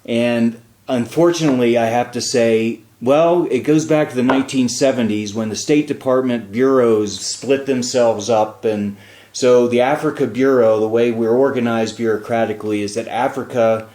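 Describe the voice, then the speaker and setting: A man at a middle pitch hosts a relaxed talk show. He explains things in a loose, chatty way, with plenty of "uh" between thoughts. His voice carries a noticeable roomy echo. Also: moderate pace. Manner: chatty and explanatory, with frequent fillers. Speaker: radio show host and diplomat. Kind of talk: casual talk show chat.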